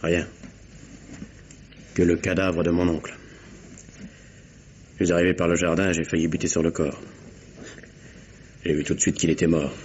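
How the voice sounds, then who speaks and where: An actor playing a weary murder suspect, bitter, faltering and fatalistic, in a French radio mystery. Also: male voice, low voice, flat delivery, normal speed, pauses often, a little mellow, dull audio, close to an everyday voice. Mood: bitter, resigned. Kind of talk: French 1950s-style radio mystery acting. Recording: slightly noisy old radio recording.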